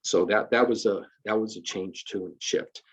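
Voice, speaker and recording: A man, talking in a husky, slightly low voice. He explains retirement benefit rules conversationally over a video call, repeating and restarting as he works through each point. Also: moderate pace. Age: 50s. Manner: conversational, many restarts and fillers, explains step by step. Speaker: retirement system administrator. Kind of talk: casual explanatory presentation. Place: virtual benefits fair call.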